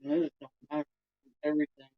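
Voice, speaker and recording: A woman's voice: deep voice, completely flat delivery, fast talker, phone-quality sound. A woman, chatting casually and laid-back at home. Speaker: companion of the vlogger.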